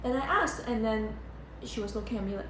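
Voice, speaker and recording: A young woman vlogging casually as she tours a budget hotel, chatty and excitable, full of "like"s and giggles, filmed on a handheld phone. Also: a little high, fairly quick. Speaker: travel vlogger. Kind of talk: casual travel vlog. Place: budget hotel room and entrance. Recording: echoey room with loud background noise.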